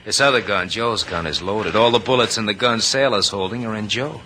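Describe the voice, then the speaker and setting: An actor playing a smug, murderous college-educated villain who talks with mocking politeness in an old-time radio drama. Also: male voice, fairly low pitch, a little fast, lightly acted. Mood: cold threatening. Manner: mocking, drawling politeness with menace. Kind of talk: 1940s-50s radio drama acting. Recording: noisy old radio broadcast.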